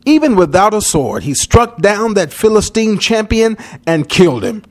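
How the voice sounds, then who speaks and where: Animated, high-voiced male storyteller. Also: moderate pace. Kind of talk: dramatic children's Bible story narration.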